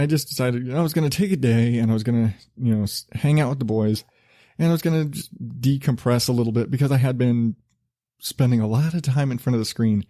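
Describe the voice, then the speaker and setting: A man hosting his own podcast, talking in a loose, rambling, self-deprecating way with a relatively low voice, laughing and swearing casually. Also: dark tone, no room sound, studio quality. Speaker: podcast host.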